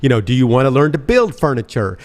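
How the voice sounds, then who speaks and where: A man's voice: medium pitch, very animated, fast, darkish tone. A man co-hosting a casual talk radio show, chatting animatedly and quoting others in mock voices.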